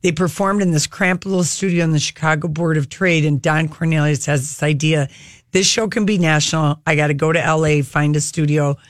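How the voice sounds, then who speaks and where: A woman's voice: sits low, fairly even intonation, brisk, no room sound, clean studio sound. An enthusiastic woman hosting a casual talk show, chatting about television.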